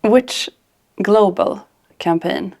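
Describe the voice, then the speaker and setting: A woman's voice: mid-range voice, drawn-out words, gravelly, a little mellow. A woman interviewing a guest in a playful, teasing, casual way.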